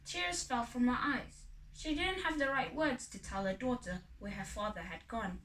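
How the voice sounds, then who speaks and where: A woman narrating a children's folk tale expressively, with deliberate, dramatic phrasing and pauses. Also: a little high, fairly bright tone. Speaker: storybook reader. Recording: echoey room, distant microphone.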